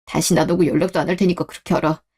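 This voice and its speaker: A young man voicing a protective husband in a radio drama, speaking in a low, firm and steady way. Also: very fast, breathy edge. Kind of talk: Korean radio drama acting.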